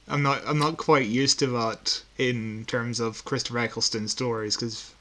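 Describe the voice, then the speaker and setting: A man's voice: mid-range voice, fairly even intonation, measured pace, pushed and bright. Chuckling male co-host, casual chat. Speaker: podcast co-host. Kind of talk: casual chat reviewing audio dramas.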